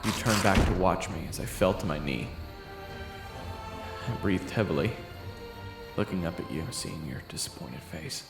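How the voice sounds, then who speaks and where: A man's voice: sits low, expressive, normal speed, pauses often. A man narrating a first-person audio roleplay in a dramatic storytelling way. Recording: echoey room with loud music.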